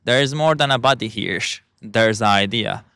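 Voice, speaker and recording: Bright-voiced Turkish man, halting English. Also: fairly low pitch, vocal fry, no echo, studio quality. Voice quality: halting, searching for English words. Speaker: vlogger. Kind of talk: casual monologue.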